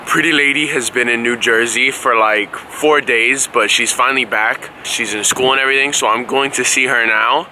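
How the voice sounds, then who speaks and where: A man's voice: medium pitch, fairly even intonation, moderate pace, hardly any pauses. Young male vlogger, casual and cheeky, swears freely, handheld camera with noisy surroundings. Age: young adult. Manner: playful, slangy, swearing. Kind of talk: casual vlog chat. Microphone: handheld camera at arm's length. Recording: noisy handheld recording, music behind.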